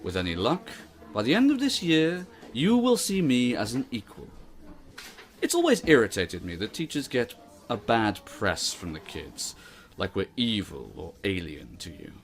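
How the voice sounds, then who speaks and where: A man's voice: lowish voice, huge pitch swings, normal speed, slight background noise. A man playing a stern, eccentric college teacher in an audio drama, theatrical and dryly ominous. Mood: ominous, emphatic.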